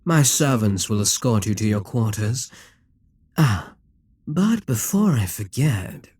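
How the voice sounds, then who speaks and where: A man's voice: on the low side, big pitch swings, slow, a little mellow, husky, no echo, full character voice. A man voicing a possessive, scheming male demon king in an audio roleplay, teasing and condescending. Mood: smug.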